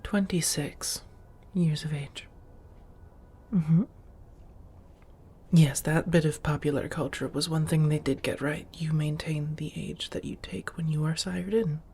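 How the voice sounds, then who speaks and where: A woman voicing a centuries-old, genteel and affectionate vampire housemate in a soft-spoken ASMR roleplay, with a husky, breathy voice and measured, old-fashioned phrasing. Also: deep voice, fairly even intonation, heavily acted. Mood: reflective, genteel.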